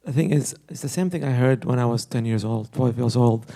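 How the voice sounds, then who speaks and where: Man with a dark, gravelly voice. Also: medium pitch, a little fast. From Yemen. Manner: polite, direct questioning. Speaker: audience member asking a question.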